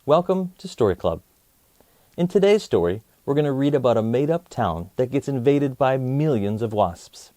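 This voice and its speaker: A man hosting a children's story channel, reading aloud in an expressive, rhythmic storytelling style. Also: mid-range voice, normal speed, dry recording.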